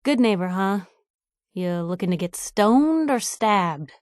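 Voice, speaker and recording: A woman voicing a sharp-witted, idealistic young female reporter companion in a video game, wry and conversational. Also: medium pitch, animated, takes it slowly, no room sound, studio quality. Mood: sardonic, wistful.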